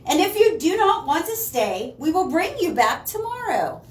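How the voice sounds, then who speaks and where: A woman reads a cheerful, naive but bossy storybook lady. The voice is high and lively, with earnest emphasis, echoing in a room. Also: moderate pace, slight background noise. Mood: emphatic, excited.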